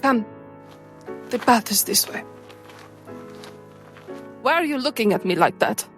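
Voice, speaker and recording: A woman's voice: a little high, expressive, unhurried, fairly bright tone, soft background music. A woman playing a tabletop fantasy game, speaking her character's dialogue in a roleplaying style.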